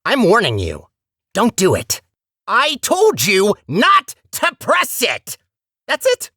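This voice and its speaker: A man's voice: sits high, huge pitch swings, slow pace, pushed and bright, no room sound, studio quality, full character voice. A man voicing a talkative robot core in a video game, a petty, scheming villain with a sarcastic, theatrical and condescending manner. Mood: menacing, exasperated. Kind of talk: video game character acting.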